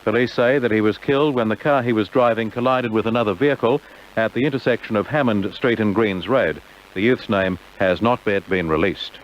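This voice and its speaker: An Australian man presenting on radio in a measured announcer's delivery, reading information and news. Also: medium pitch. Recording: dull, noisy old AM radio tape.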